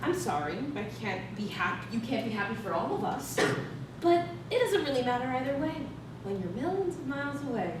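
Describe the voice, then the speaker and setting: A woman's voice: fairly high pitch, very animated, a little fast. A woman playing a taunting, sarcastic estranged wife in a staged reading of a play. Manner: needling, sarcastic. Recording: echoey, noisy stage recorded from far off.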